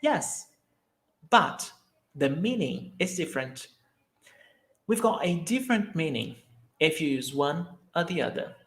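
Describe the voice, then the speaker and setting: Male language teacher, unhurried and methodical, repeats his examples, recorded in an echoey room. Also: fairly high pitch, very animated, a bit bright. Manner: slow and deliberate, repeating each example sentence. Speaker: Portuguese teacher. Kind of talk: language lesson, mixing English and Portuguese.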